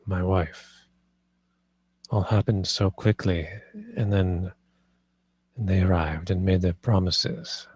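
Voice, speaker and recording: An actor playing a grieving, defeated husband in a script table read, halting, subdued and sorrowful. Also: male voice, deep voice, a little mellow, breathy edge, full character voice.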